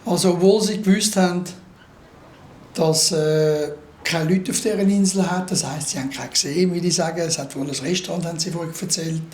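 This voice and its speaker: An actor playing a calm, insistent investigator with a Swiss German accent, formal and measured, in a radio play. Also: mid-range voice, reverberant, a little hiss or hum, natural voice. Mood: probing. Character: calm, probing police-style investigator questioning a witness. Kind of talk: naturalistic radio play dialogue.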